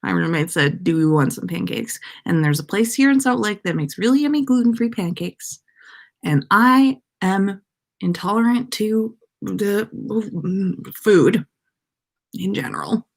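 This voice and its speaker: Slow-paced American woman chatting casually. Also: medium pitch, lively intonation, vocal fry. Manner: playful, rambling. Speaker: podcast livestream host. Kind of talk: casual livestream chat with viewers.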